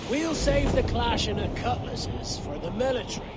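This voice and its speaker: An actor playing a gruff, seasoned male pirate captain in a video game cutscene, talking with dry humor. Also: very high voice, animated, moderate pace. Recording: loud sea and wind noise.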